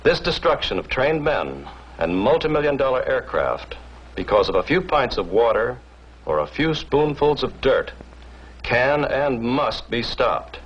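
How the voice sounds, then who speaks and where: A man's voice: medium pitch, lively intonation, a little slow, breathy edge. An American man presenting a formal scripted introduction to a military training film. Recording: dull, noisy old film soundtrack.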